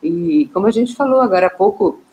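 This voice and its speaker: A woman, hosting a live online interview, chatting warmly and asking questions, often saying "né". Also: mid-range voice, a little fast, poor audio. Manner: warm, conversational, with frequent "né". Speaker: host, portal director.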